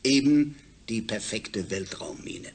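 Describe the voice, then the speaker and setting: An actor playing a suave, self-assured space project leader in a German radio drama, formal and measured with emphatic pauses. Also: male voice, medium pitch, a little mellow, slight background noise, natural voice. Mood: grave, explanatory.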